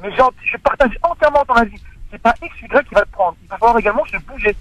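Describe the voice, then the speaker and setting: A man's voice: very high-pitched, fast talker, a bit bright. A man calling in to a radio talk show, speaking earnestly and stressing key words over a phone line. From Morocco. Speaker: radio caller.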